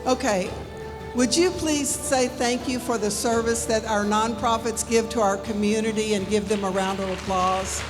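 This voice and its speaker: High-voiced woman announcing in an echoing hall. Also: fairly even intonation. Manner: reads names slowly with small apologetic corrections. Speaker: presenter at a community awards ceremony. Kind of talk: formal announcing into a microphone. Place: large indoor event hall. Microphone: podium microphone. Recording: long hall reverb, music playing. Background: background music.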